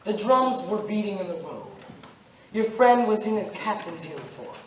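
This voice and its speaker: Young man acting grave, high-voiced ghostly stranger, distant. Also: sing-song. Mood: solemn, accusing. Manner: solemn, deliberate, declamatory storytelling. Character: Judas, ghostly accusing stranger, eighteen centuries old, grave and relentless. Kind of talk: amateur stage play acting. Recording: roomy, noisy stage recording from far off.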